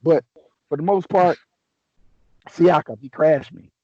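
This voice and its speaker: A man hosting a casual sports chat, a little raspy from a sore throat, talking in a laid-back, joking way with many restarts. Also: a little high, takes it slowly, mellow, no echo, dull audio. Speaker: podcast host.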